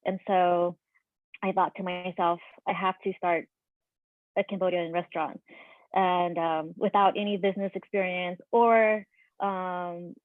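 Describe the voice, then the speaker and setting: A woman's voice: lowish voice, steady tone, a little slow, dry recording. Earnest woman on a tinny call. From United States. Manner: reflective, earnest, frequent ums. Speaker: restaurant owner and chef. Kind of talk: panel discussion storytelling. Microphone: video call. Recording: dry, phone-like video call audio.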